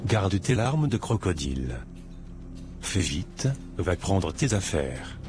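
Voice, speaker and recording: Man playing a harsh, deep-voiced, contemptuous husband. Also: very animated, takes it slowly, over music, exaggerated voice. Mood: cold contempt. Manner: harsh, commanding, insulting. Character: cruel, contemptuous husband throwing out his wife. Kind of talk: animated moral drama acting.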